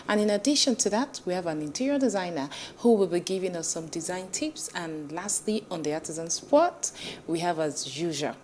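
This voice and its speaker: A woman's voice: fairly low pitch, slight background noise. Gracious woman hosting a TV talk show. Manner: formal and gracious, reads scripted intros. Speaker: host. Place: TV studio.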